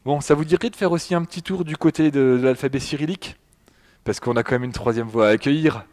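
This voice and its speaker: A man's voice: mid-range voice, quick pace, darkish tone. A man giving a chatty public lecture, often speaking directly to the audience. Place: public hall.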